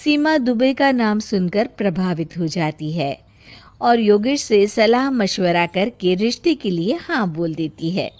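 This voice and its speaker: A woman's voice: mid-range voice, expressive, a little slow, a little mellow. A woman giving scripted storytelling narration for a cartoon moral story.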